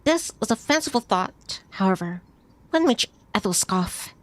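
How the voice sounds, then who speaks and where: A woman's voice: mid-range voice, animated, measured pace. A woman streamer reading a visual novel aloud as story narration, now and then stumbling over a word and rereading it. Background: music behind the voice.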